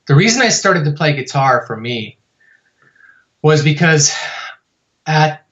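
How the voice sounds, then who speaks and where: A man's voice: fairly high pitch, big pitch swings, slow, fairly bright tone, highs cut off. A man as a podcast guest, telling his story in a rambling way with many fillers and restarts. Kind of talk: casual storytelling interview.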